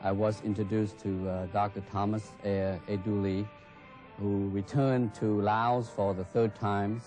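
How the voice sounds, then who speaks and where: Older Vietnamese-accented man, flat, low. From North Vietnam. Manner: measured, hesitant with pauses. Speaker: interviewee. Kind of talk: documentary interview recollection. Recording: music bed under the voice.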